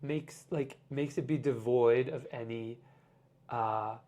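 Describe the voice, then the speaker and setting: A man, a guest on a podcast, talking in a casual, reflective way and often pausing with "like" as he explains his ideas. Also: medium pitch, mellow. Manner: reflective, explains with many "like" fillers. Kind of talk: casual conversation.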